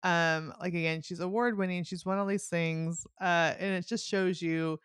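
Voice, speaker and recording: A woman's voice: on the low side, fairly even intonation, normal speed, clean tone, dry recording, studio quality. A middle-aged woman hosting a podcast in a casual, enthusiastic and encouraging way. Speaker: podcast host. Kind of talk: casual interview chat.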